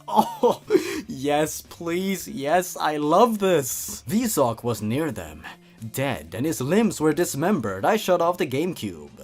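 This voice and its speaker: A man's voice: on the high side, sing-song, takes it slowly. A man reads a story and comments on it like a scripted reviewer, in a playful, theatrical, sarcastic way. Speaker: host reading and reviewing a horror story.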